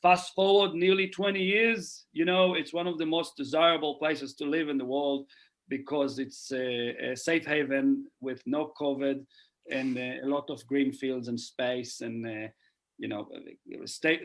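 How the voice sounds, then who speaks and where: A man's voice: medium pitch, steady tone, moderate pace. A middle-aged man from Israel, speaking earnestly as a podcast guest and often repeating phrases as he thinks. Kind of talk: casual podcast conversation.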